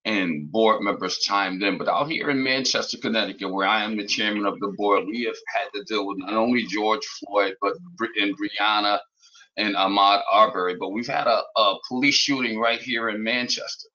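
A man making emphatic, deliberate, halting remarks at a meeting as a board chairman. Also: fairly low pitch, steady tone, pushed and bright. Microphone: video call. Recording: dull lo-fi video call audio.

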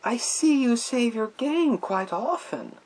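A man playing a menacing, theatrical psychic warrior in a breathy whisper for ASMR roleplay, speaking slowly through a gas mask. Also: extremely high pitch, lively intonation, darkish tone, heavily acted. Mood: smug. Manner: slow, drawn-out, theatrical delivery. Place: small room lined with bookshelves. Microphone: speaking through a gas mask, close to camera.

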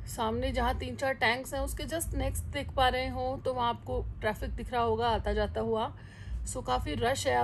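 A middle-aged Indian woman chatting casually as a travel vlogger, mixing in English phrases, recorded on a phone in a room. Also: fairly high pitch. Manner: switches between Hindi and English phrases. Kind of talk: casual travel vlog chat to camera. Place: hotel room by a large window, indoors. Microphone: phone at arm's length. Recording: clearly noisy room ambience.

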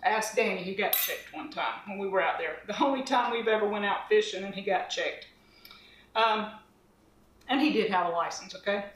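A woman's voice: medium pitch, moderate pace. A middle-aged woman, chatting homespun-style as she demonstrates a recipe in her home kitchen. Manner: chatty and homespun, talking while mixing dough by hand. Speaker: cooking channel host. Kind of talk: casual cooking demonstration. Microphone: camera across the counter. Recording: roomy kitchen, mic at a distance.

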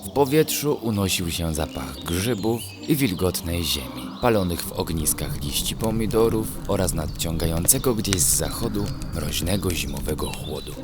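A man tells a children's bedtime story in a very low voice. His narration is gentle, measured and descriptive, with music playing clearly behind him. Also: lively intonation.

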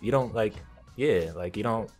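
A man's voice: lowish voice, moderate pace, music in the background. A young man, a YouTuber, joking with strangers on a video chat in mock-gangster bravado, recorded on a studio microphone in a small home room. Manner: playful, mock-gangster bravado with sudden mumbled asides. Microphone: studio microphone on a stand, headphones on.

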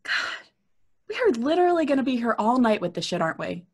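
High-voiced, agitated young daughter. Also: female voice, big pitch swings, a little fast. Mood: exasperated. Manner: agitated, stammering, sweary. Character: angry, frightened, foul-mouthed daughter, a young woman. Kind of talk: audio horror drama acting. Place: home, on a video call.

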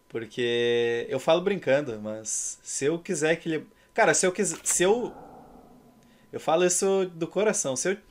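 A man in his thirties with a Brazilian accent, chatting casually and ironically as he hosts and commentates a game stream. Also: mid-range voice. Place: indoor room at home.

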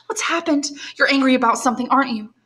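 A woman's voice: high-pitched, quick pace, bright, forceful tone, voice pushed up. A woman reading aloud and voicing a young woman, an earnest and worried granddaughter pleading with her grandfather. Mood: anxious.

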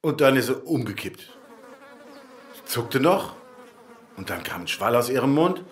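An actor in a radio play voicing a chatty, resigned old-fashioned mailbox in a rural German dialect, folksy and rambling. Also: male voice, medium pitch, animated, a little slow, slight background noise, lightly acted. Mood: mournful, matter-of-fact.

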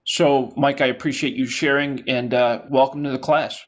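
A man's voice: mid-range voice, fairly even intonation, normal speed. A middle-aged man teaching a class over a video call, encouraging and repeating his words as he talks. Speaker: instructor. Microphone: video call webcam.

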